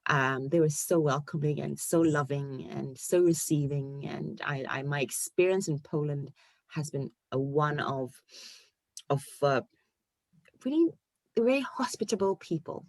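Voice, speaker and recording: A woman in her forties from Ghana, a guest on a video-call interview show, telling her story in a lively way and laughing often. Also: low-pitched, unhurried, gravelly, no echo. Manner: animated storyteller, laughs often, acts out quoted voices. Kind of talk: casual interview conversation.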